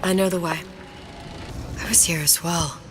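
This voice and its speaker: A woman's voice: on the low side, fairly even intonation, normal speed, lightly acted. An actress playing a haunted, determined young woman in a video game, clever and hesitant as she thinks aloud. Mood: pensive, troubled. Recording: game audio under loud music.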